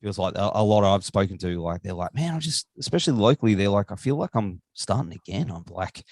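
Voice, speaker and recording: Enthusiastic male podcast host, very animated intonation, dry close sound. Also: on the low side, quick pace, no room sound. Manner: enthusiastic, chatty, rambling questions. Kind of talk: casual interview chat.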